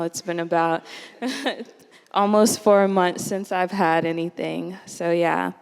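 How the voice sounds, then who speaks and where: Young American woman presenting, very clear voice with a lowish pitch, earnest, echoey hall. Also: a little mellow. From United States. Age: young adult. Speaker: student presenter. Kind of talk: presenting slides at a forum.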